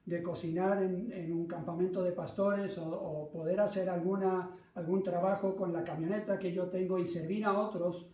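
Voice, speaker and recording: A man's voice: high voice, steady tone, moderate pace, hardly any pauses, a little mellow. A middle-aged man with a Latin American Spanish accent preaching through personal stories in an earnest, self-deprecating manner. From Argentina, raised by North American parents. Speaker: pastor. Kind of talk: sermon with personal anecdote. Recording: roomy echo, distant microphone.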